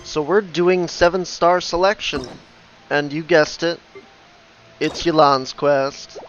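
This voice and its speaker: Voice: medium pitch, steady tone, slow pace, dull audio, faint music behind. A host giving casual video game playthrough commentary in offhand short reactions.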